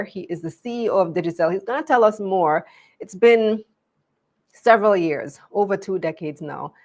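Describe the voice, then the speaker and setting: A woman with a Jamaican accent hosting a live show in a conversational way. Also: on the low side. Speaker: show host.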